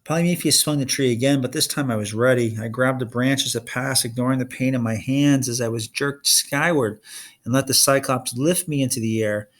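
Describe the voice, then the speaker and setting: A man reading a children's fantasy novel aloud in expressive audiobook-style narration. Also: mid-range voice, fairly quick. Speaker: reader of a children's fantasy novel read-along.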